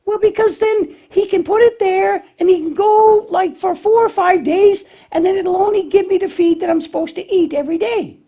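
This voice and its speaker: A man's voice: extremely high pitch, normal speed, few pauses, mellow, pitched above the performer's own voice. A man voicing a naive, eager, bumbling young lamb puppet in a children's show, with a hesitant, folksy, childlike way of explaining. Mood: sheepish explaining.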